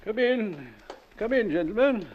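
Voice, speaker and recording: An actor playing a frail elderly doctor in a 1940s radio drama, speaking slowly and gravely. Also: male voice, high voice, lively intonation, slight background noise. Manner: slow, measured, grave delivery. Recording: dull old radio broadcast.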